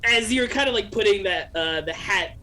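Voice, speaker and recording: A male player in a tabletop game with a bright, forceful voice. He describes his character casually, making it up as he goes. Faint noise is audible behind him. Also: high-pitched, animated, normal speed. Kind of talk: casual improvised character narration.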